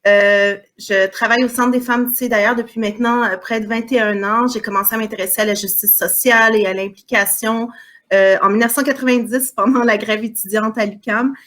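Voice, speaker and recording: A woman's voice: a little high, steady tone, fairly quick, a bit bright. A woman in her forties, a community worker, introducing herself on a video call panel in a friendly, inviting way. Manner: friendly, inviting, some fillers. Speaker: community organizer.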